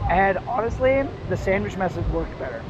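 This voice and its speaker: A man's voice: high voice, animated, normal speed. A young American man chatting casually and earnestly in a rambling way, with heavy swearing. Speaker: livestreamer. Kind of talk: casual street chat. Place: outdoors in a city at night. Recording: muffled, noisy outdoor livestream audio.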